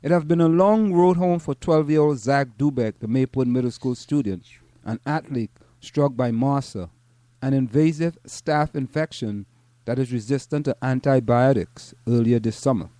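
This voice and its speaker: A middle-aged Trinidadian man reads a news piece aloud and then drifts into casual chat, often stumbling and repeating words as he goes. His voice is dark and mellow, and the recording is dry with almost no room sound. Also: medium pitch, normal speed, dry recording. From Trinidad and Tobago. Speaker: podcast co-host.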